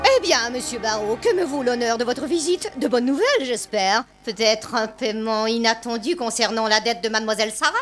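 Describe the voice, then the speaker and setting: A woman's voice: fairly high pitch, brisk, hardly any pauses, over music, natural voice. A woman voicing a stern, greedy, haughty headmistress in a cartoon drama, speaking in formal, severe phrases. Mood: smugly polite. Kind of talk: animated drama dubbing.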